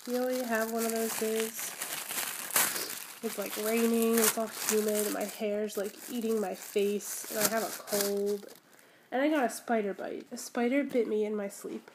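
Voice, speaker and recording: A young woman with a congested, cold-stricken voice sitting a little high in pitch. She rambles and gushes happily over little toys. The phone recording is full of crinkling plastic and handling noise. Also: little pitch movement, normal speed. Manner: rambling, giggly, gushing over small items. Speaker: squishy collector vlogger. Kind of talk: casual unboxing chat. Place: indoors at home, camera pointed at a table.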